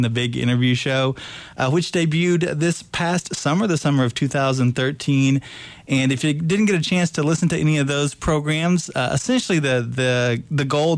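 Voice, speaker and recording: A man's voice: mid-range voice, no room sound. A man hosting a college radio interview show, talking in a friendly way with many fillers and restarts.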